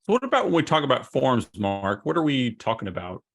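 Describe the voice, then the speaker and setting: Gravelly-voiced man in a webinar. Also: medium pitch, big pitch swings, brisk. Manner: chatty, prompts his co-presenter with questions. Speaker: solution consultant. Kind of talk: webinar presentation.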